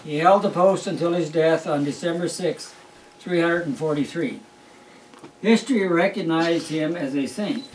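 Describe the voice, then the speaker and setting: A man's voice: fairly high pitch, moderate pace, a little hiss or hum. An older man, a grandfather, reads a Christmas story aloud at a family home in a wry, amused storytelling manner. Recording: roomy, distant home recording.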